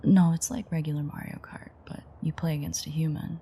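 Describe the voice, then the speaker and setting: A playful, dangerous vampire woman, performed by an actress murmurs in an intimate audio drama. Her voice is dark and very husky, with a gravelly creak. She teases wryly, often dropping close to a whisper. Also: sits low, fairly even intonation, a little fast, exaggerated voice. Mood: soft, sincere. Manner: teasing, wry, murmured. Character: teasing, playful ancient female vampire, flirtatiously menacing.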